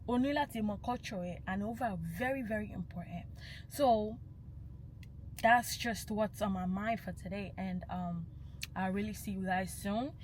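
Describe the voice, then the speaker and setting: A woman's voice: mid-range voice, moderate pace, a little hiss or hum, rough recording. Passionate young woman. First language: Yoruba. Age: young adult. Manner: passionate and emphatic, slipping into Yoruba phrases. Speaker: vlogger. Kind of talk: casual vlog monologue.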